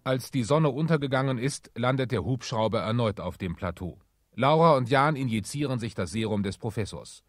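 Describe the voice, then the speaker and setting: A man narrating a scripted radio play with measured, clearly enunciated storytelling. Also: mid-range voice, lively intonation, soft background music.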